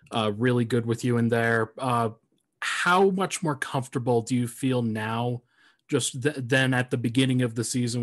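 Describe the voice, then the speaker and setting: A male reporter asks a drawn-out question, stumbling and restarting as he goes. His voice sits at an ordinary middle pitch. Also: fairly bright tone. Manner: hesitant, rambling. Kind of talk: press question in English.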